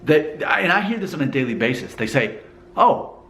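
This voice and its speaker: A man's voice: a little high, very animated, normal speed, a bit bright, roomy sound, slight background noise. A man from Alabama with a mostly neutral American accent and a faint Southern hint, musing casually to the camera and asking the viewer questions. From Alabama, USA. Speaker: vlogger.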